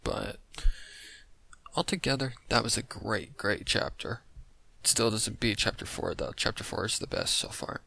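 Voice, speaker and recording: Guy w low crackly voice, bright n dry sound, rambling informal reaction commentary. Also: normal speed, gravelly. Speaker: host of a reaction video.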